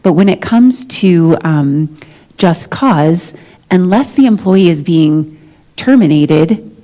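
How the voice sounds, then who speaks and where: A woman speaking as a management negotiator in a formal but conversational meeting, explaining things in a measured, diplomatic way. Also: on the low side, lively intonation, warm tone, poor audio. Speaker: negotiator for a school district's management. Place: meeting room, live-streamed negotiation session.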